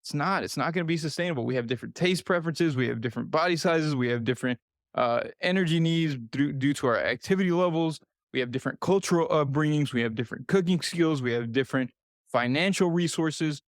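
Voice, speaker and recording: A man's voice: a little high, fast, no room sound. A man in his thirties with a slightly raised voice, coaching listeners through nutrition advice on his own podcast. He talks earnestly and repeats his points for emphasis. The sound is close and dry, with no room around it. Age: 30s. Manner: earnest, repetitive, speaks directly to listener. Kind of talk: solo podcast advice talk.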